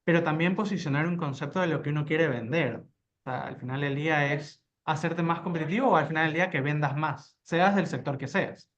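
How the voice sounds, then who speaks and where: A man's voice: a little high, fairly quick, a bit bright, lo-fi sound. A man speaking as a panelist and tech cluster leader, talking in a promotional, businesslike way. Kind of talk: webinar panel discussion.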